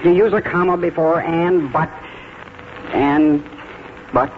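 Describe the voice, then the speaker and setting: An actor playing a sulky, lovestruck eighteen-year-old schoolboy with an earnest, stammering delivery in a 1940s radio drama. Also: male voice, fairly high pitch, fairly even intonation, slow, narrow, tinny audio. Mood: reluctant. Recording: noisy, thin 1940s radio broadcast.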